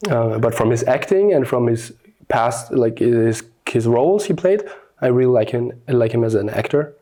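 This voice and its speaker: Young Austrian man with a mellow voice. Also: mid-range voice, lively intonation, moderate pace. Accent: Austrian German. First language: German. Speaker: guest influencer. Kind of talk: casual interview chat.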